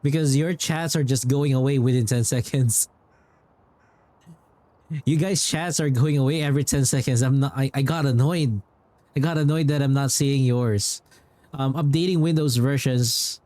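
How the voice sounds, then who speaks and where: A man talks to his livestream chat in a casual, rambling way, circling back over his own phrases. His mid-pitched voice is recorded dry and close to the microphone. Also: normal speed, warm tone, no echo. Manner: rambling, repeats himself. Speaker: game livestreamer.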